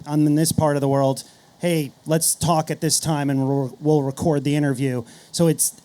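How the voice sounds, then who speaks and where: A man's voice: on the high side, dark tone. A man talking conversationally on a panel, often repeating words as he starts a phrase.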